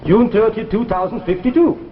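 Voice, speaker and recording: An actor playing a grave, courteous older doctor from the future, speaking formally and solemnly in 1950s sci-fi drama style. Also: male voice, sits high, brisk, slight background noise, lightly acted. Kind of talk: 1950s radio-era sci-fi drama acting. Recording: dull vintage broadcast with loud music behind.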